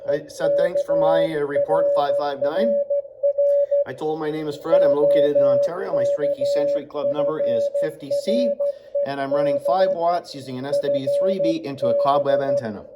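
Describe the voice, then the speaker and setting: A man's voice: a little high, normal speed, heavy background noise. A man who works as an amateur radio operator, giving terse, piecemeal live commentary as he decodes Morse code. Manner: terse, fragmented, reading out characters piece by piece. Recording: dull lo-fi sound under loud beeping tones. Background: loud Morse sidetone beeps.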